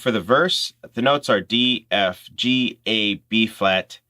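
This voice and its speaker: A man's voice: lowish voice, takes it slowly, pushed and bright. A man hosting an informal music tutorial, explaining things step by step in instructional talk.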